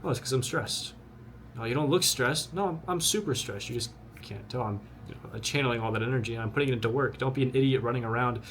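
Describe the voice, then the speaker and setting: A man's voice: mid-range voice, quick pace, fairly bright tone, slight background noise. A twenty-year-old man giving a blunt, earnest motivational talk to the camera. He repeats himself often and talks straight to his listener. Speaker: vlogger.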